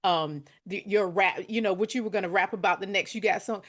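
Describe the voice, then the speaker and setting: A woman's voice: mid-range voice, a little fast. Chatty woman podcast host. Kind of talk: casual interview conversation.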